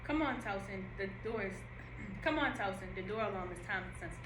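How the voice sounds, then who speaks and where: A woman playing a brash, chatty young cafe worker in an audio play read-through, animated, slangy and bustling. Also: mid-range voice, a little fast, close to an everyday voice. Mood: brisk, friendly. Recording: echoey room with background noise.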